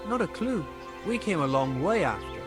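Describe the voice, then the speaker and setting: An actor playing a sarcastic, evasive charcoal burner in a video game, defensive and easily rattled. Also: male voice, on the high side, big pitch swings, normal speed, over music. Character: cocky defensive male charcoal burner, adult.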